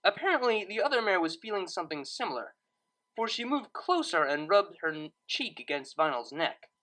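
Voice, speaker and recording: A woman reading a story aloud as narrator, in expressive scripted narration. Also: lowish voice, brisk, low-quality recording.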